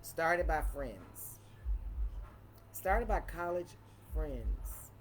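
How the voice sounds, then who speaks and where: A woman with a deep voice chatting casually and playfully in a wine tasting vlog, repeating phrases for emphasis. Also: very animated, extremely slow, a little hiss or hum. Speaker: vlogger reviewing wine.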